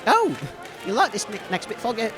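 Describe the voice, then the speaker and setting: A British man playing a cheerful, naive radio host, earnest and excitable, giving mock event commentary in an audio comedy. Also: high-pitched, a little fast, over music. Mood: bright, chirpy. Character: cheerful naive male radio host, gently chiding his co-host.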